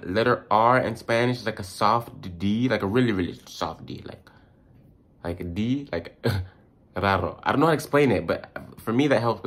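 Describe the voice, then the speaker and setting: A man who is a native English speaker hosts a casual language lesson, talking informally with slang and repeating words to demonstrate their sounds. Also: on the low side. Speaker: host of a language tips video. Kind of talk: casual vlog-style pronunciation lesson.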